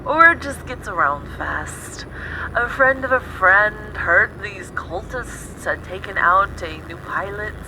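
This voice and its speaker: A woman's voice: medium pitch, expressive, slow. Woman doing a bossy caring mechanic friend in a radio drama, swingy voice, noisy backdrop. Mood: concerned. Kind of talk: audio drama dialogue over a call. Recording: clear vehicle and street noise behind.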